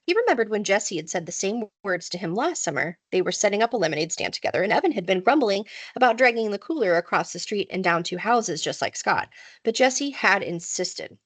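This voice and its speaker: Animated woman reading a children's story. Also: medium pitch, brisk. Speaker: teacher reading aloud. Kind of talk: expressive children's book narration.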